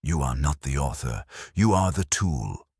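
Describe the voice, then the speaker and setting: A man's voice: rumbling low, animated, unhurried, a little mellow, breathy edge, dry recording, studio quality, exaggerated voice. A man voicing a stern, imperious detective genius in an audiobook, with a pompous, formal and accusatory way of speaking. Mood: accusing, commanding.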